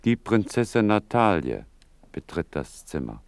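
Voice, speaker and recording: A man's voice: low voice, big pitch swings, measured pace, gravelly, dry recording, heavily acted. A man reading stage directions as a radio drama's scripted narrator.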